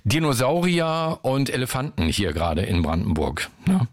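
A man's voice: medium pitch, sing-song, moderate pace. A man hosting a radio quiz phone-in, chatting casually and joking with callers. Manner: chatty, joking, uses filler tags.

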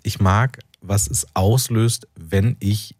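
A man from North Rhine-Westphalia, an author and journalist, speaking as a radio interview guest in a thoughtful, halting way with many fillers. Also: on the low side, darkish tone, breathy edge, dry recording, studio quality.

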